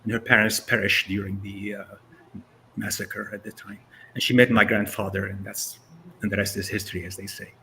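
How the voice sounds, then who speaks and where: A man's voice: fairly low pitch, little pitch movement, brisk, gravelly, pushed and bright, a little hiss or hum, low-quality recording. Syrian-born man in his sixties, storytelling. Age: 60s. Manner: conversational storytelling with frequent fillers. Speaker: guest speaker. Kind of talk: casual presentation over video call.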